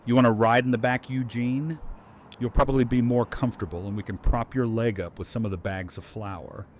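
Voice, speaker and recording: Man playing helpful survivor, practical, casual, medium pitch, audio drama, faint background noise. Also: steady tone, fairly quick, natural voice. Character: helpful, considerate male survivor.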